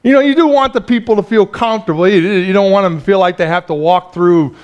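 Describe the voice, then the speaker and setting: A man in his forties preaching a sermon in a small church, chatty and lively, laughing often and restarting his phrases. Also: high voice, fast, smooth. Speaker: pastor.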